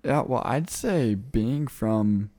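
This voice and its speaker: A man's voice: mid-range voice, animated, slow, a little mellow. A young man from the American Midwest, answering interview questions in an eager, chatty way and laughing easily. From Midwest United States. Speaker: guest, returned missionary.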